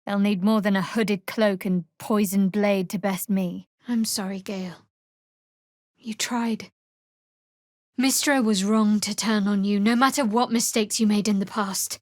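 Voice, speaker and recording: A woman's voice: mid-range voice, fairly even intonation, a little slow, a little mellow, no room sound, close to an everyday voice. An actress playing a guarded, sardonic young female cleric in a fantasy video game, speaking in a dry, clipped manner. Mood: wary, resolute, then subdued, tender.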